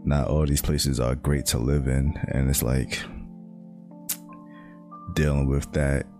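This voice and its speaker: A young American man talking in a halting, reflective way, full of "like" fillers, in a casual monologue. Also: rumbling low, level tone. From United States. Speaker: speaker sharing personal reflections. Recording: guitar music playing underneath.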